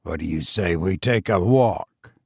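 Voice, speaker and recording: A man acting a low, brooding, resentful man. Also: unhurried, creaky, slightly rough, no echo, clean studio sound, heavily acted. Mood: guarded. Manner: terse, low, subdued. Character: brooding, resentful, envious man, hiding his hatred.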